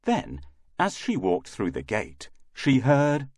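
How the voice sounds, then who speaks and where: A man reads a children's fairy tale as storyteller. He takes his time, with sweeping rises and falls in a mid-pitched voice, recorded very dry. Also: wildly swooping pitch, slow, a little mellow, dry recording. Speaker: storyteller, narrator.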